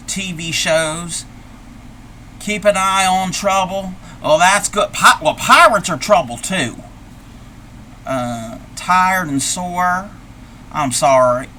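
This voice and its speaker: Folksy old granny, befuddled by gadgets, slow, bright and a bit high, as a man plays her. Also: a little hiss or hum. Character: old grandma, confused by technology, folksy. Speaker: streamer. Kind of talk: live stream character play.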